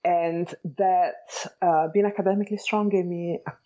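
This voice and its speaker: A woman in her forties with an Italian accent, giving thoughtful, measured answers as an interview guest. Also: fairly low pitch, fairly even intonation, dark tone, dull audio. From Italy, Bologna. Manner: thoughtful, measured, searching for words. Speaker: architect and educator, guest.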